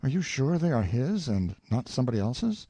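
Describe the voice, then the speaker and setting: A man doing a wary young gentleman. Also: mid-range voice, sing-song, moderate pace, breathy edge, no room sound, pitched above the performer's own voice. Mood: uneasy. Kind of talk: audiobook character reading.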